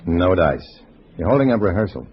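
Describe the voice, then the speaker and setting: A man's voice: low-pitched, very animated, a little fast, a little hiss or hum. A man playing a cool, wry undercover agent, narrating tersely in an old radio drama on a dull recording. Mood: cool, guarded. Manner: terse, dry, hard-boiled. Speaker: narrator and lead actor. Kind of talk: 1950s radio drama acting, scripted narration.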